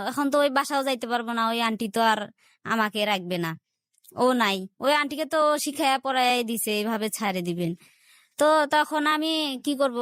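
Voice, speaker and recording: A woman's voice: fairly high pitch, a bit bright, no room sound, clean studio sound. A woman with a Bangladeshi accent telling a personal life story as an interview guest, relaying remembered conversations in quoted dialogue. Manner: recounts events in quoted back-and-forth dialogue.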